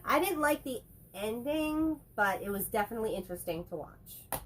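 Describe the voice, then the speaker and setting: A woman's voice: on the high side, expressive, a bit bright. A woman with a head cold co-hosting a casual chat about movies, rambling and joking as she talks. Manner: rambling, chatty, quick to joke. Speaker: co-host.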